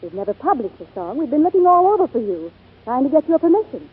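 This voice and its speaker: Haughty stage diva, high-pitched. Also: female voice, sing-song, quick pace. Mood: scornful. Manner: imperious, scornful. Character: haughty, fiery female stage star. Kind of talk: 1940s radio acting. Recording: tinny, lo-fi 1940s radio broadcast.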